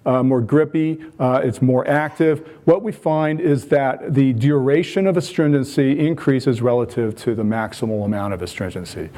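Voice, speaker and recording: Mellow-voiced American man giving a lecture. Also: fairly high pitch. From United States. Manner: relaxed, self-deprecating, frequent fillers and restarts. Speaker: wine chemistry researcher giving a conference talk. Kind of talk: conference lecture.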